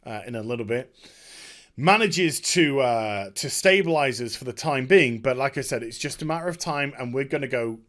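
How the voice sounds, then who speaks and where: Man giving a halting, casual story recap. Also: mid-range voice, animated, a bit bright. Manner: halting, digressive, self-correcting. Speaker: gaming video host.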